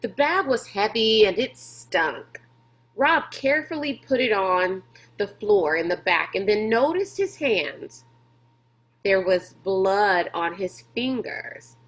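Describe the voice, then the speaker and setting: Slow, deliberate woman reading a story aloud. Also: mid-range voice, expressive, fairly bright tone. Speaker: teacher reading aloud to pupils. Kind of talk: storybook read-aloud narration.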